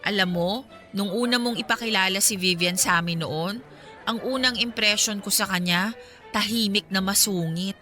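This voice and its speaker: A woman's voice: mid-range voice, normal speed, sharp tone, faint music behind, lightly acted. An actress playing a friendly, teasing young woman chatting with her best friend in a Filipino radio drama. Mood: chatty, curious.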